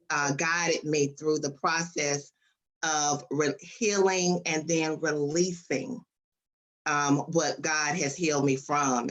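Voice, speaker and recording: A woman with a notably low voice speaks as a guest author on a live stream. She is earnest and grateful, affirming what her host says. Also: fairly bright tone. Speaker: author and client of the publisher. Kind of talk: heartfelt guest testimony.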